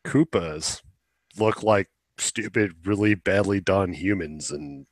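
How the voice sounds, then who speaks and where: A man's voice: fairly low pitch, animated, takes it slowly, vocal fry, no echo, clean studio sound. A man co-hosting a podcast, giving casual commentary in an informal, blunt and opinionated way. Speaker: podcast co-host.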